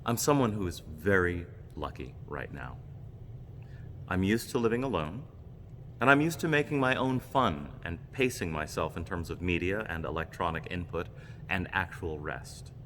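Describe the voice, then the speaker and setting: A man giving a reflective homily with personal stories, speaking in measured, deliberate phrases. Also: sits low, lively intonation, a bit bright. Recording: long reverb, background noise.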